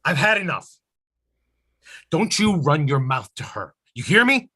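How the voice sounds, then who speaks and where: A man's voice: fairly high pitch, big pitch swings, measured pace, pushed and bright, husky, exaggerated voice. A man playing a domineering, menacing father in a staged reading of a drama, stern and confrontational. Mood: furious.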